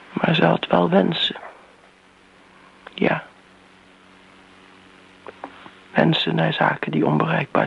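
A bitter middle-aged daughter in a radio drama, her voice creaky plain. She speaks in pointed, sarcastic reproaches. The old recording is muffled, with some hiss behind her. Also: sits low, full character voice. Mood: bitter, reproachful. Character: bitter, accusing grown daughter defending her mother. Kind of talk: radio play acting.

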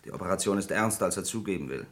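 An actor playing a modest, polite young lawyer in an old radio crime play. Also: male voice, deep voice, animated, rapid-fire. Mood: earnest. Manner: modest, polite, a bit hesitant. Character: modest young new law partner. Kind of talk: 1950s-80s German radio crime play acting.

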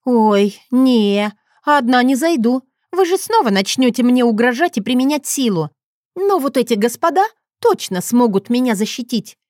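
Lady doing a dramatic young heroine playing the victim, pompous, very lively, higher voice, storybook. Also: moderate pace, dry recording, studio quality, close to an everyday voice. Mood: mock plaintive. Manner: pathetic, pompous, exclamatory delivery. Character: theatrical, defiant young woman playing the wronged victim.

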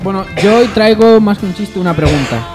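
Male comedy radio regular, casual banter, slightly high voice, faint music bed. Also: brisk. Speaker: radio show regular presenting joke segment.

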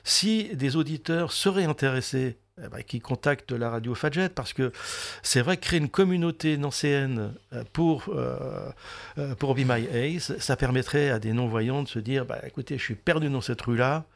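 A male radio host talks through a studio discussion in a chatty, explanatory way. His pitch sits mid-range and he often restarts his sentences. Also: normal speed, creaky. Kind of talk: radio talk show discussion.

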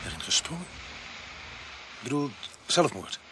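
A man's voice: medium pitch, sing-song, unhurried, background noise. A man acting in a radio drama as a hot-headed police sergeant, protective of his people and emphatic. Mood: incredulous.

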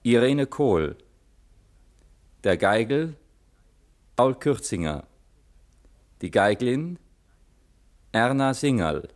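A man working as a radio announcer, reading scripted credits in a measured, formal 1950s broadcast manner. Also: medium pitch, sing-song.

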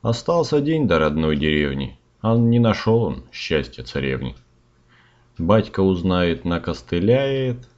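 A man's voice: sits low, very animated, a little slow, darkish tone. A man narrating a comic fairy tale in rhyme, with a performed storyteller delivery. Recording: dull sound with music. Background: music.

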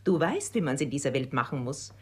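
An actress playing a sly, worldly matchmaker in a radio play, coaxing and self-assured. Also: female voice, low voice, very animated, fairly quick, breathy edge, heavily acted. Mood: knowing, sly. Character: sly, flattering, worldly female matchmaker and schemer. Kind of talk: German radio play stage acting.

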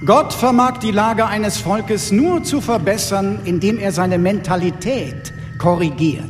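An actor playing a haughty, fanatical intellectual villain in a radio drama, speaking in a pompous, preaching way. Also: male voice, high-pitched, unhurried. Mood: preaching, righteous. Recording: long reverb, faint music behind.

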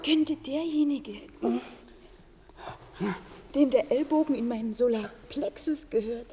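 A woman's voice: high voice, takes it slowly, noisy background. An actress playing a prim, polite woman squashed in a crowd, speaking with stiff formality in a radio drama. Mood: politely strained.

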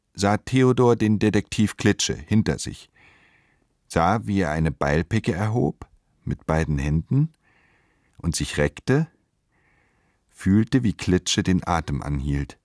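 A man narrates a literary radio play in a measured, solemn and deliberate manner. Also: sits low, expressive, a little mellow, dry recording, clean studio sound.

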